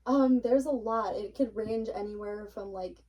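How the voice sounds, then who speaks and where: A woman's voice: medium pitch, measured pace, warm tone, recorded from a distance, low-quality recording. A young woman chatting casually and informally as a podcast guest, in a conversational, filler-heavy way. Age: young adult. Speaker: cake decorator being interviewed.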